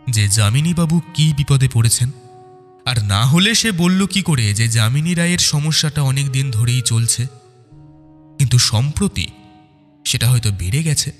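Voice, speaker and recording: Male narrator for detective audio fiction: lively intonation, dramatic scripted delivery, reverberant sound over faint music. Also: medium pitch.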